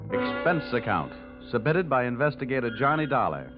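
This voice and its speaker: An American actor playing a wry, wisecracking insurance investigator in an old-time radio detective drama. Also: male voice, mid-range voice, big pitch swings, fairly quick, close to an everyday voice. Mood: wry, self-assured. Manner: wry, breezy, sardonic. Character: cocky wisecracking male insurance investigator, rugged and unflappable. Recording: tinny vintage radio recording, faint music.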